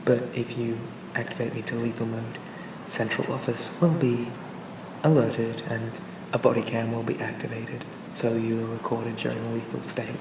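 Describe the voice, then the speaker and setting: A calm and pleasant male AI companion acted by a man for an ASMR roleplay. He speaks gently and reassuringly in a narrow, even pitch. The recording sounds distant and echoey, with clear ambient noise behind him. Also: normal speed, natural voice. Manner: gentle, hesitant, reassuring, conversational. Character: charming, pleasant male AI personal assistant for a police officer.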